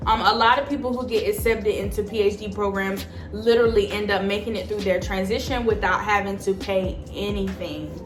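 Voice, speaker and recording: A woman's voice: medium pitch, fairly quick, few pauses, soft background music. Chatty woman in her twenties, echoey room. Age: 20s. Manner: chatty, emphatic, addresses viewers as y'all. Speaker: vlogger. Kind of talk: casual advice chat to camera.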